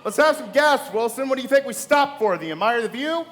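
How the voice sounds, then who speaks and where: An actor playing an arrogant, suspicious, wealthy husband who blusters and sneers in a live radio play. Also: male voice, very high voice, expressive, a little fast, fairly bright tone, long reverb tail, a little hiss or hum. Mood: scornful.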